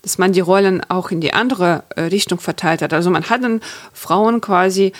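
A woman's voice: lowish voice, moderate pace. A woman in her thirties from Ukraine, a guest speaking thoughtfully in an interview, with frequent hesitations and restarts. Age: 30s. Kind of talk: conversational interview.

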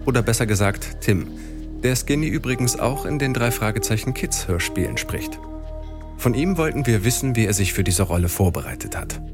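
A male presenter delivers scripted narration in a fairly low voice. His intonation moves around in a lively way, and music plays behind him. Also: fairly quick. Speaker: feature presenter. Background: music bed.

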